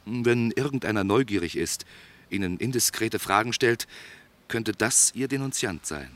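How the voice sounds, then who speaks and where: An actor playing a courteous, dryly teasing police investigator in a German radio play, questioning insistently with irony. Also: male voice, lowish voice, lively intonation, normal speed, fairly bright tone. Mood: businesslike, probing. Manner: insistent, drily ironic questioning.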